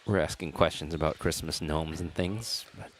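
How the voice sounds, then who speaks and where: Low-voiced man chatting as pickleball commentator. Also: moderate pace, slight background noise. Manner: chatty, rambling, chuckling mid-sentence. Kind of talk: casual live sports commentary. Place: indoor pickleball gym.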